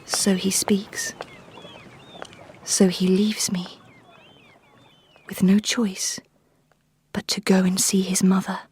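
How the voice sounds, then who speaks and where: A woman playing a determined young Victorian wife in a radio drama, earnest formal phrasing and hushed asides. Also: mid-range voice, level tone, takes it slowly, long pauses, slight background noise, lightly acted. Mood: hushed, brooding. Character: determined, questioning young Victorian wife turned amateur detective. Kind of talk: radio drama acting with hushed first-person narration.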